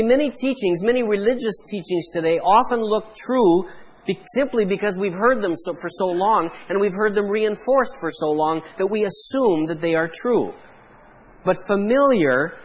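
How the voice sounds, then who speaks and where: A Canadian man, a pastor, preaching a sermon in a measured way, pausing for emphasis. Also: high-pitched, breathy edge, rough recording.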